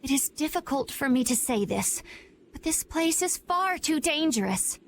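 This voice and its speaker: A woman's voice: high-pitched, lively intonation, normal speed, creaky, slight background noise. An actress playing a proud, loyal young female knight who speaks in a formal, resentful, urgent way. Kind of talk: video game voice acting.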